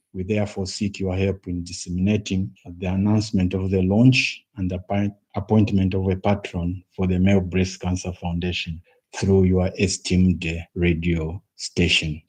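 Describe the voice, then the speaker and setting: A man's voice: deep voice, flat delivery, normal speed, darkish tone, slightly rough. A man reading out a formal statement as a representative of an organization.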